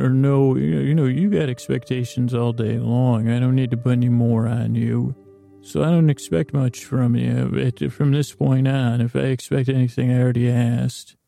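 A man's voice: medium pitch, creaky, mellow, no room sound, studio quality. A man hosting a bedtime podcast, talking in a meandering, hesitant monologue that often trails off and circles back. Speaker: host of a bedtime sleep podcast.

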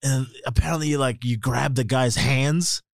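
A man's voice: mid-range voice, fairly even intonation, unhurried, gravelly, no echo, clean studio sound. A man co-hosting a podcast in casual chat, rambling, swearing and laughing often. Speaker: podcast co-host. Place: podcast studio.

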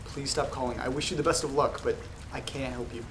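A man hosting a horror audio drama, reading letters aloud in a quiet, flat, gravelly way. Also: mid-range voice, fairly quick. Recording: roomy echo with loud rain noise. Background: rain and thunder.

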